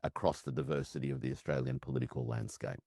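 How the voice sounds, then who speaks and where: Very deep, gravelly male voice. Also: fairly even intonation, fairly quick, mellow, dry recording, studio quality. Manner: thoughtful, with fillers. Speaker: interview guest, professor. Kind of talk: casual interview talk.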